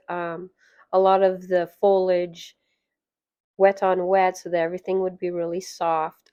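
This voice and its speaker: Hoarse, low-voiced woman tutoring. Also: no echo, dull audio. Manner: soft-spoken, chatty, with fillers and light laughs. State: hoarse, losing her voice. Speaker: watercolor painting instructor. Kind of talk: relaxed voice-over tutorial.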